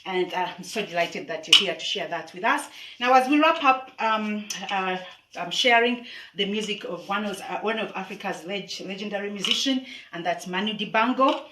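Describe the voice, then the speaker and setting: A woman's voice: mid-range voice, normal speed, distant mic. A woman hosting a casual music livestream, chatting with many fillers and restarts. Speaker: host. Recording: echoey room, off-mic, faint noise.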